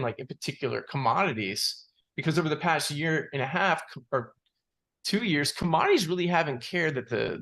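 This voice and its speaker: A man giving unscripted analysis as a market analyst, in a halting way, repeating words and padding with "you know". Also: fairly high pitch, a bit bright. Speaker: market analyst presenting charts. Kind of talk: unscripted financial chart commentary.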